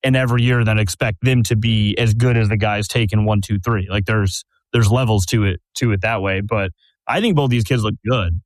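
A man's voice: on the low side, a little fast, no echo, rough recording. A man hosting a casual sports podcast, talking in a conversational way, full of fillers, and steering the talk with questions. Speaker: podcast host. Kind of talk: casual chat.

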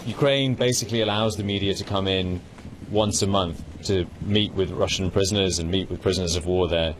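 A man's voice: low voice, fairly even intonation, a little fast, background noise. A man working as a foreign correspondent, telling his field report in a conversational way.

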